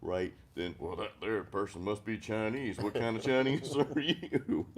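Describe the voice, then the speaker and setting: Man, from the Deep South, middle-aged, deep voice, rambling casual host, self-deprecating. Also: measured pace, slightly rough. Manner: rambling, self-deprecating, frequent restarts and laughs. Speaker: host of a music chat stream. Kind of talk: casual chat over beers, reading live comments.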